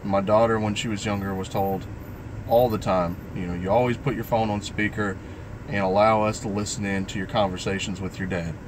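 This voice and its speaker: Low-voiced man talking reflectively, noisy recording. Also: fairly even intonation, brisk. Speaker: vlogger. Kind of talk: personal vlog talk, live stream.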